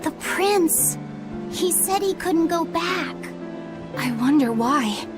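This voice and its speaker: Earnest polite young exorcist girl, really high voice, video game scene with music behind. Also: expressive, slow pace, full character voice. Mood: earnest, wondering. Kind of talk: animated game cutscene acting. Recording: background music over dialogue.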